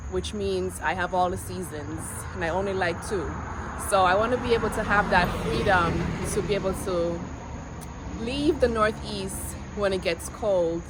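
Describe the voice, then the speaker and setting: Slow-talking woman vlogging outdoors. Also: lowish voice. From Jamaica. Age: 40s. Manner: earnest, reflective, with pauses and restarts. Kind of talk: casual vlog to camera. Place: outdoors on a quiet street. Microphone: handheld camera. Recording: outdoor noise with insects. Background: insects and passing vehicles.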